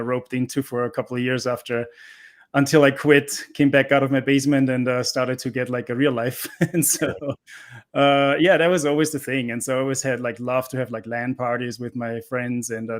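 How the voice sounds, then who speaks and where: Middle-aged man, mid-pitched. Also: level tone, a little fast. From Austria. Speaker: interview guest, cybersecurity instructor. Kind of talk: casual conversational interview answers.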